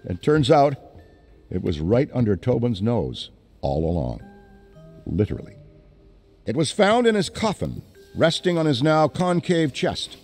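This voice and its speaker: An actor playing an excitable middle-aged occult scholar who rambles eagerly with hesitant fillers. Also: male voice, medium pitch, unhurried, long pauses, soft background music. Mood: enthusiastic.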